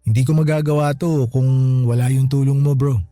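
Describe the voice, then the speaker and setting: A man playing a humbled older brother, a former tough guy, speaking casually and with gruff sincerity in a radio drama. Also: mid-range voice, fairly even intonation, moderate pace, warm tone, no room sound, lightly acted. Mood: grateful, lighthearted. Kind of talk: radio drama dialogue, slangy and conversational. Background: background music.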